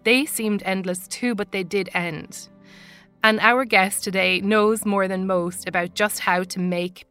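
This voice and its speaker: A woman with an Irish accent, hosting and narrating a podcast intro in an earnest, measured way. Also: mid-range voice, a bit bright, clear, resonant tone. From Ireland. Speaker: podcast host. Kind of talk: scripted narration.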